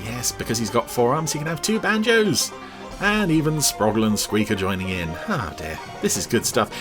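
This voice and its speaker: Animated male reviewer over loud music. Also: medium pitch, moderate pace. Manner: enthusiastic, rambling. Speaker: podcast reviewer. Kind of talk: commentary over cartoon clips.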